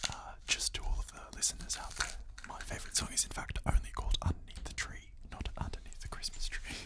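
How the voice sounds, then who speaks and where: A young man whispering playful ASMR as a guest, close to a studio microphone. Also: a little fast. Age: young adult. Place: small indoor studio set.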